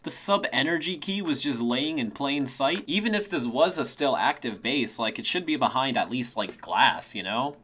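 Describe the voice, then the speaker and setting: A man's voice: medium pitch, expressive, moderate pace, hardly any pauses, pushed and bright, faint music behind. A man reviewing an episode in casual, conversational commentary with the odd mild swear. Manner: conversational, mildly sweary asides. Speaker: video reviewer.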